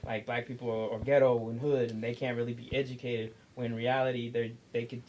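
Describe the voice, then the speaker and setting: A man of middle pitch who co-hosts a podcast, talking in a loose, slangy way and swearing freely. He leans hard on his points, with a faint hiss under the recording. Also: level tone, brisk, darkish tone, low-quality recording. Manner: slangy, profane, emphatic. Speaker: podcast co-host. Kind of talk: casual chat.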